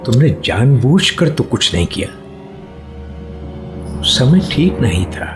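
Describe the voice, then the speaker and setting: A lovesick man in a radio drama, speaking slowly with a pitch that swings widely. He is insistent and emotional, pleading his case over clearly audible music. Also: sing-song. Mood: tender pleading. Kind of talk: Hindi radio play acting. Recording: music behind a radio play.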